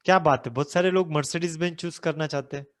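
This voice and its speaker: A man's voice: a little high, steady tone, a little fast. A man in his thirties from India, a business coach giving a motivational talk who asks the listeners rhetorical questions. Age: 30s.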